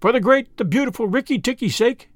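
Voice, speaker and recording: A man voicing an excitable, feather-brained male tailorbird in a storybook reading, high and sing-song. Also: fairly quick, no room sound, studio quality. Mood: fawning.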